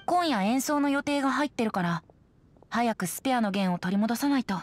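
A woman's voice: fairly high pitch, animated, fairly quick, faint music behind, close to an everyday voice. A woman voicing a playful, whimsical young male bard in a video game, with a light, boyish voice.

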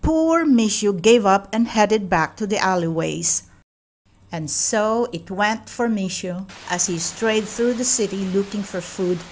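A woman narrating a children's storybook as an expressive read-aloud, stressing key words with deliberate drama. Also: mid-range voice, slight background noise, low-quality recording.

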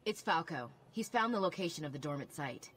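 An actress playing a brisk, urgent, plain-spoken human ally in a video game. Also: female voice, low voice, lively intonation, a bit bright, husky, heavily acted. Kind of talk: video game dialogue acting.

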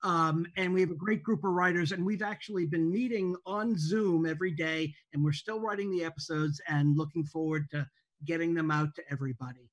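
A woman's voice: on the low side, a little fast, no echo, rough recording. A woman who writes for television, making casual remarks on a video call. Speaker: show writer and producer. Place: home room, video call.

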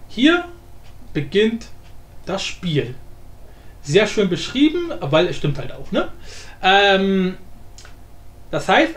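A man giving a casual software tutorial in an informal, blunt, rambling way. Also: high-pitched. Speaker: tutorial presenter. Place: indoor room, voice-over for screen recording. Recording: roomy echo, light background noise.

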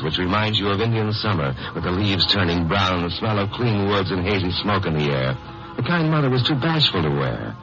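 An actor playing a wry, wisecracking lawyer-sleuth in a tongue-in-cheek 1950s radio crime drama. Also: male voice, on the low side, fairly bright tone. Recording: muffled old radio broadcast, music behind.

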